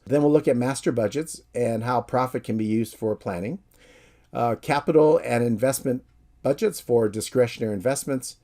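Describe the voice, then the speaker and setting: A middle-aged American man, a professor from San Francisco, giving a calm, friendly, measured course introduction. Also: medium pitch. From San Francisco, USA.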